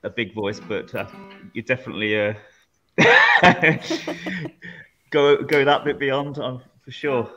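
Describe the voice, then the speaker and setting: A man's voice: medium pitch, a little slow, long pauses, a bit bright. A middle-aged man hosting a casual online music show, speaking hesitantly with many fillers. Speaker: host. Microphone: headset. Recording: dull lo-fi video call audio.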